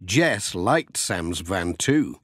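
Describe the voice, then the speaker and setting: A man's voice: deep voice, very animated, extremely slow, breathy edge, no echo, clean studio sound. A man narrating a children's audiobook story.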